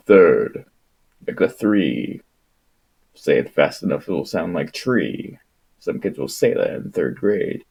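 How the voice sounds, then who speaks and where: Slow-talking man with a dark, husky voice. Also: low-pitched. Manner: irreverent, sweary, exasperated. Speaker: livestream host reading a book aloud. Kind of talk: casual rambling livestream with read-aloud passages.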